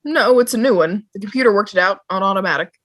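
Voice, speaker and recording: A practical pilot who keeps order, played by a woman in an online drama read. The voice sits in the middle register, and the manner is brisk, dismissive and businesslike. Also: medium pitch, fairly bright tone, close to an everyday voice. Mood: matter-of-fact. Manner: matter-of-fact, dismissive. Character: brisk, no-nonsense vehicle pilot keeping order. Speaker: table read performer. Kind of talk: amateur drama table read. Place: online video call table read.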